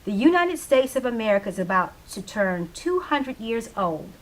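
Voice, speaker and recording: A woman's voice: a little high, sing-song, normal speed, poor audio. A woman voicing an opinionated small-town radio DJ of the 1970s, speaking in an emphatic, preachy way in a stage play reading. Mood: preachy, fervent.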